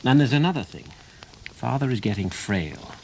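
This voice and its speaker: A man's voice: medium pitch, very animated, normal speed, vocal fry, darkish tone, a little hiss or hum, low-quality recording. An actor playing an insistent newspaperman in a radio play, firm and pressing. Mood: persuasive. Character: persuasive, insistent English newspaper heir and friend.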